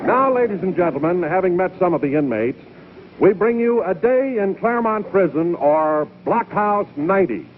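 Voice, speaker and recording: A man's voice: high-pitched, expressive, normal speed, background noise. A man playing an earnest, formal announcer who interviews inmates in a 1950s TV comedy sketch, speaking emphatically. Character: male announcer touring a prison, formal and earnest. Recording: narrow-band 1950s broadcast with music.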